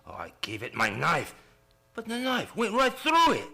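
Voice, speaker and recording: Haunted, half-mad sailor, halting and stammering, sharp voice with swooping pitch. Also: male voice, wildly swooping pitch, gravelly, faint music behind, full character voice. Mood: fearful, obsessed. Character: terrified ship's mate sliding into raving madness. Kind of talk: old-time radio play acting.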